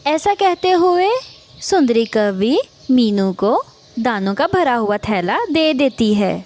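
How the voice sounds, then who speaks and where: A woman's voice: a little high, expressive, takes it slowly, a little mellow. A woman narrating a scripted cartoon story as its storyteller.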